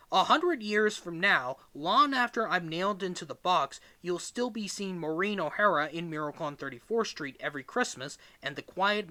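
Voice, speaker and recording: A man reading a book aloud as a narrator, with emphatic, expressive delivery. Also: a little high. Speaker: reader, narrator.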